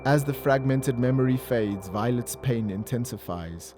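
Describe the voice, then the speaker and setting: A man reading dramatic scripted narration in a measured, solemn manner. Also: mid-range voice, noisy background. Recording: loud music under voice. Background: loud dramatic music.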